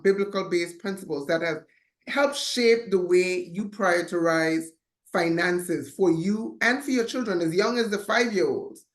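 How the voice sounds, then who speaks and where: A woman hosting a podcast, speaking in an earnest, measured way as she frames her questions around faith. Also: medium pitch. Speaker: podcast host. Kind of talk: interview questions.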